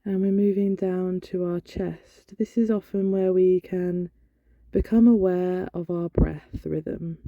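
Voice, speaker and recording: A woman leading a guided body scan meditation in a gentle, soothing, instructional manner. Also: lowish voice, fairly even intonation, slow. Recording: dull, lo-fi, roomy echo.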